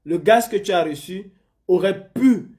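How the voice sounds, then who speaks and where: A man's voice: sits high, unhurried, a little mellow. A man from Senegal hosting a live stream, arguing with a caller and lecturing him insistently, repeating his points. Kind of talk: heated live call-in debate.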